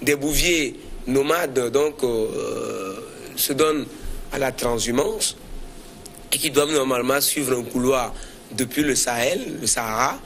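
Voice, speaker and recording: A man's voice: medium pitch, a little slow, fairly bright tone, slight background noise. A man giving spontaneous commentary as a debate panelist, often hesitating and repeating words as he builds his argument. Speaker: panelist on a current affairs debate. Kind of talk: spontaneous political commentary in a discussion.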